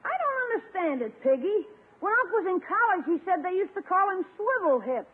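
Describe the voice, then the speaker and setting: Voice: very high voice, big pitch swings, moderate pace. A performer playing a brash young nephew, a boy with a cheeky, breezy manner, in a 1950s radio comedy. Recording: tinny 1950s radio recording.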